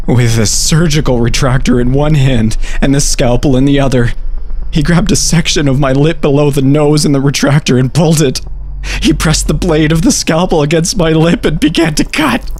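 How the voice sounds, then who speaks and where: A man's voice: medium pitch, a little mellow, slight background noise. A man reading a first-person horror story with an emotional, dramatic delivery. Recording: music behind the voice. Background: music.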